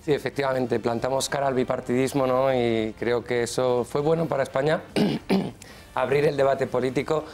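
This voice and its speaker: A man from Brazil, long settled in Spain, speaking as a political guest on a TV panel. His delivery is earnest and steady, and he clears his throat now and then. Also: mid-range voice, normal speed, faint music behind. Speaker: party leader, panel guest. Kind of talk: televised panel talk. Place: TV studio.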